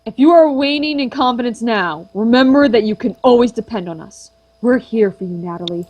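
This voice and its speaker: A woman's voice: on the high side, a little fast, a little mellow, close to an everyday voice. A woman voicing a steadfast comrade who reassures a friend, reading the lines in her own voice. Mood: reassuring. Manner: reads game dialogue aloud.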